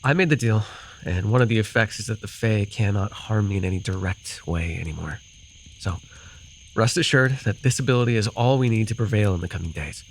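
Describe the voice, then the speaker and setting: Man acting a low, gravelly fantasy guide. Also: fairly quick, slight background noise, natural voice, rough recording. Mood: earnest, reassuring. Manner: hesitant, soothing, sighing, teasingly defensive. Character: gruff fantasy forest guide, caring but easily flustered. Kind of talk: immersive ASMR roleplay acting.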